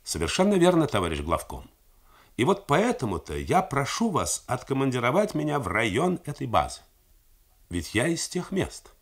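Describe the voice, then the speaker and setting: A man's voice: mid-range voice, wildly swooping pitch, moderate pace, voice pushed up. A man voicing an earnest, eager young army major who pleads his case.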